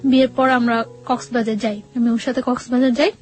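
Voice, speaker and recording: A woman telling her life story as an interview guest, in a conversational, halting way, quoting dialogue as she goes. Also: on the high side, little pitch movement.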